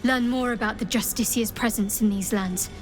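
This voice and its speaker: An actress as a high-voiced, devout young cleric woman. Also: moderate pace, faint music behind, lightly acted. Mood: intent, devout. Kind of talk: video game character dialogue.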